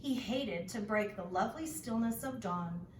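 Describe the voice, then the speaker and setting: A woman narrating a children's storybook as a teacher reading aloud, deliberate and expressive. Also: medium pitch. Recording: echoey room, distant mic, faint noise.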